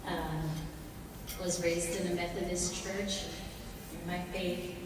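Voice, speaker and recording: A middle-aged woman from Samoa giving an earnest prepared self-introduction as a pageant contestant, with soft "um" fillers. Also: on the low side, little pitch movement, slow pace. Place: large indoor hall. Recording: echoey hall from far off with noise.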